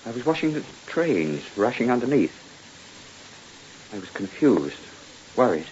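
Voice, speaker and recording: A man in an old-time radio drama playing a wronged husband who is calculating, measured and quietly commanding. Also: medium pitch. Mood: wearily explaining. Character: cool, calculating wronged husband turning the tables. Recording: muffled old radio recording, steady hiss.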